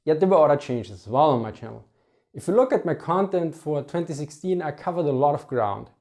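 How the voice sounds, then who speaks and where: A man from Austria, a native German speaker with an Austrian German accent, hosting a history channel and explaining things in a measured way, sometimes correcting himself. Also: a little high, animated, darkish tone. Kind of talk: reflective talk to camera.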